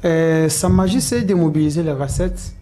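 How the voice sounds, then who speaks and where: A man's voice: fairly high pitch, normal speed, mellow, a little hiss or hum. A man answering interview questions, hesitant and full of "euh" fillers and restarts. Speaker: political supporter interviewed as guest.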